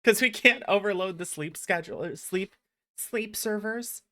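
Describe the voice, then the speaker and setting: A woman hosting a livestream, chatting casually in an animated, teasing way with some swearing. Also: medium pitch, moderate pace. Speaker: livestreamer, VTuber host.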